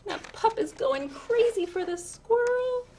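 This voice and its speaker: A woman's voice: very high-pitched, expressive, unhurried, a little mellow, a little hiss or hum. A woman reading a children's picture book aloud expressively, with chatty asides and questions to her listeners.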